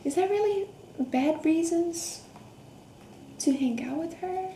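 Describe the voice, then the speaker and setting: A woman reading a picture book aloud to children, expressive, with questions, gasps, hums and hushed asides. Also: very high-pitched, takes it slowly. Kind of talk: interactive children's read-aloud with questions to young listeners. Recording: roomy echo, faint background noise.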